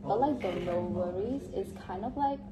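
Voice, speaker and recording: A woman's voice: medium pitch, animated, moderate pace. A young woman from Vietnam with a Vietnamese accent, chatting casually in an interview and filling her answers with "like". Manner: chatty, heavy use of "like", laughs often. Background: loud crowd chatter.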